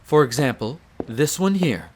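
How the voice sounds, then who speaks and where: A man's voice: a little high, expressive, unhurried, a little hiss or hum. A man playing a courteous, devoted head servant of a vampire castle in a roleplay audio drama, speaking with formal, polite diction. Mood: polite, attentive. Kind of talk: scripted roleplay audio drama, addressing the listener.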